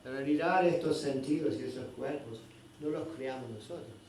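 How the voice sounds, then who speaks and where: Mellow-voiced man teaching in an echoey hall. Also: mid-range voice, normal speed. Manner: searching for words, constant "¿no?" tags. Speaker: spiritual teacher. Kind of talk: scripture class. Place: indoor temple hall. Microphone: microphone at a distance. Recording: very echoey hall, distant mic, faint noise.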